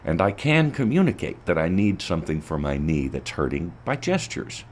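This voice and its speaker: A man's voice: deep voice, very animated, normal speed, slight background noise. A man earnestly explaining in an interview, his voice jumping up on key words. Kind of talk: interview answers.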